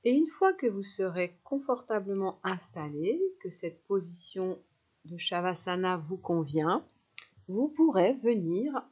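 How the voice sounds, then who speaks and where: Slow, soft-toned woman guiding relaxation. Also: on the low side, husky. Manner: soothing, unhurried instructions with pauses. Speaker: yoga nidra teacher. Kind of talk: guided relaxation meditation.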